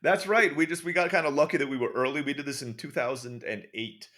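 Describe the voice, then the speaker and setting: A man with an American accent, guest on a podcast, telling jokey stories in a quick, casual way. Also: a little high, steady tone, a bit bright. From Turkey. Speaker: guest, online school founder.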